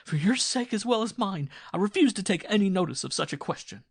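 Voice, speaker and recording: A man's voice: fairly high pitch, animated, a little fast, a bit bright, slightly rough, no room sound, clean studio sound, pitched above the performer's own voice. A man voicing a frightened peasant wife in a storybook reading, pleading and trembling. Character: frightened, sobbing peasant wife, adult woman.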